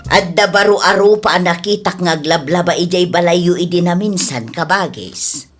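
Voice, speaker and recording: A woman's voice: fairly low pitch, normal speed, soft background music, natural voice. A woman playing a nosy, disapproving middle-aged sister in a radio drama, gossipy and reproachful, with an Ilocano accent. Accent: Filipino (Ilocano). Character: nosy, disapproving sibling of the old father.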